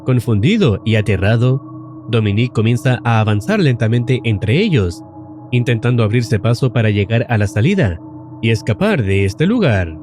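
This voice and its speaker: Lively male horror-recap narrator. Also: medium pitch, moderate pace. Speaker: narrator of horror explainer videos. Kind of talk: scripted suspenseful recap narration. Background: faint music.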